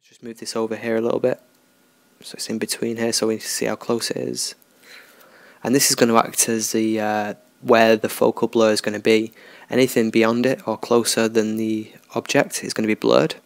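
A man talking through a software tutorial in a relaxed, unscripted way, thinking aloud as he works. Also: fairly low pitch, moderate pace, gravelly, no echo. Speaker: tutorial host. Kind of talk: screen-recorded software tutorial.

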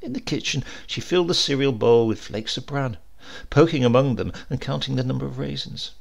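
A man reading a literary supernatural tale aloud in a measured, expressive storytelling manner. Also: mid-range voice, gravelly. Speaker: story reader.

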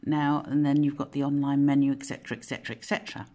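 A woman hosting a casual craft software tutorial at home, chatty and rambling, often correcting herself, with a slightly croaky voice. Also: very deep voice, flat delivery, fast talker. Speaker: craft channel host. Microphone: phone camera pointed at a monitor.

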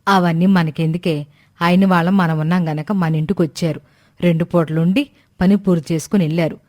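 Cartoon mother of a grown daughter woman performer: plain-spoken, brisk, brushes things aside. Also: fairly low pitch, fairly even intonation, a little mellow, natural voice. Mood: matter-of-fact. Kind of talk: animated moral-story voice acting.

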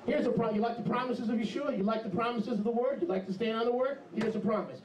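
A middle-aged man preaching an animated, emphatic sermon into a handheld mic in a small congregation hall. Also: extremely high pitch, fast talker. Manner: animated, emphatic, jumps into mocking voices. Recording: echoey hall, camera far off.

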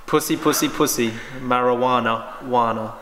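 A man's voice: mid-range voice, steady tone, normal speed, crowd noise. Young man reciting in a very echoey room. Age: young adult. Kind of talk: reciting rap lyrics and chatting casually. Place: large living room indoors. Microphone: camera a few steps away. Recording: very echoey room, loud noise.

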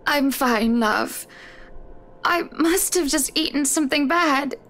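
A man voicing a young wife in a visual novel, in a raised, gentle voice. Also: very high-pitched, slow pace, voice pushed up, heavily acted. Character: young adult wife Hannah, gentle and wry.

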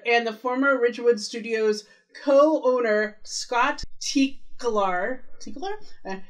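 Woman, podcast co-host, fairly high voice, chatty, detail-focused recap. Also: poor audio. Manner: chatty, walks through details like a tour guide. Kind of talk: casual recap chat about a TV episode.

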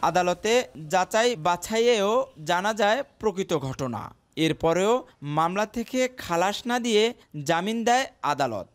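A man narrating a television news report in a measured way, with sentences that fall at the end. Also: high-pitched, animated, clean tone. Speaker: field reporter.

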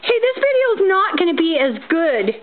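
A woman's voice: very high-pitched, very animated, brisk. A young woman behind the camera, teasing her friends and laughing often as she films them in a barn. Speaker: person filming friends. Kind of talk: casual chat behind the camera. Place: indoors in a barn. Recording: tinny lo-fi camera audio with crowd noise.

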